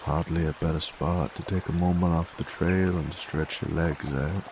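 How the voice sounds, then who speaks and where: A man playing a gruff, teasing werewolf bounty hunter in a Western audio drama, with a folksy American Western drawl and a gravelly voice. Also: a bass voice, fairly even intonation, a little mellow, a little hiss or hum. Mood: musing, weary. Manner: folksy, drawling, slow and wry. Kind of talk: scripted roleplay audio drama monologue.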